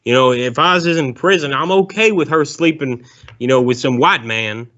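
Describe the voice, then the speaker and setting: A man doing a rambling Southern ex-convict with a thick folksy drawl, sir-ing every other breath. His voice sits at an ordinary middle pitch and comes through dull and lo-fi, like a phone call. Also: normal speed, a bit bright, lightly acted. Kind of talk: prank call.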